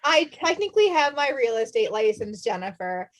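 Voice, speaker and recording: A woman's voice: on the high side, sing-song, moderate pace. A woman in her thirties, a podcast host chatting casually and telling stories. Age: 30s. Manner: chatty, storytelling, laughs often. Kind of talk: casual chat.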